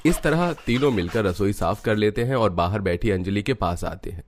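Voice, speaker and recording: Low-voiced male cartoon narrator. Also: animated, normal speed, slight background noise.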